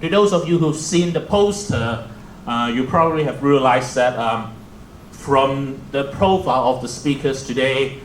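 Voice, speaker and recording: A man's voice: mid-range voice, unhurried, roomy sound, a little hiss or hum, rough recording. A young man with a Hong Kong accent, moderating a museum roundtable with joking, self-deprecating remarks. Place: museum event hall.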